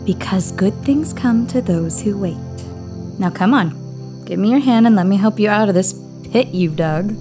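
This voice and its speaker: An actress playing a sensible, caring female companion to a scholar in an audio drama, expressive and gently chiding. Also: mid-range voice, normal speed, over music, lightly acted. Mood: coaxing.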